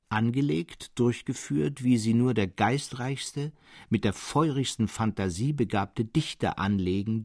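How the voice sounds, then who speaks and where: Raue, lebendig lesende Männerstimme. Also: male voice, fairly low pitch, a little mellow, husky, dry recording, clean studio sound. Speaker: audiobook narrator. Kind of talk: literary story reading.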